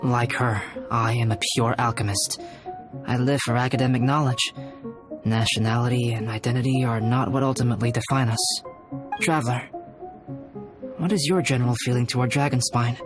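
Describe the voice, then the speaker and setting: An actor playing a calm, scholarly young male alchemist in a video game, speaking in a measured, formal, reflective way. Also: medium pitch, music in the background, natural voice. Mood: composed, matter-of-fact. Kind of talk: video game character dialogue.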